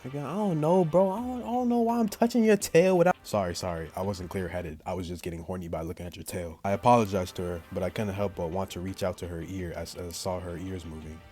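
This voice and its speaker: A man's voice: low voice, quick pace, few pauses, dark tone. A man doing a let's play of a visual novel, reading the text aloud and tossing in slangy, jokey asides over game music. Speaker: gaming YouTuber. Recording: music behind the voice.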